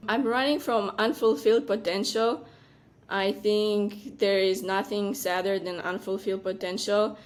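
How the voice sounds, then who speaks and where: A woman from Romania in her thirties, a venture partner answering interview questions in a measured, earnest way with frequent ums. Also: mid-range voice, fairly even intonation. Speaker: venture capital partner, guest.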